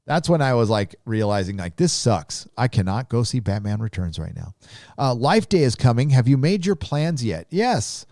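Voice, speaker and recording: A man's voice: mid-range voice, sing-song, normal speed, darkish tone, no echo, studio quality. A man from Southern California with a Southern Californian drawl, hosting a live stream in rambling casual chat full of fillers. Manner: rambling, laid-back drawl with many fillers.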